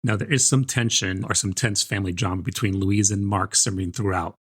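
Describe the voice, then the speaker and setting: Low husky-voiced man discussing books. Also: steady tone, brisk, fairly bright tone. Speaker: book podcast co-host. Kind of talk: conversational book review.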